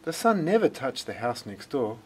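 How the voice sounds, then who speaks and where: A man reading a children's story aloud in a dramatic, deliberate way. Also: medium pitch, sing-song, a little hiss or hum, low-quality recording. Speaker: storybook reader. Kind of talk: expressive scripted children's story narration.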